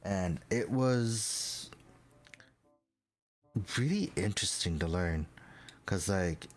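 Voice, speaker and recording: A young man with a very deep, low voice and a stuffy, congested nose. He rambles casually and self-deprecatingly, full of likes and ums, as he works through a model build at his desk mic. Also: lively intonation, slow. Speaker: model-kit building vlogger. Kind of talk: casual chat while building a model kit.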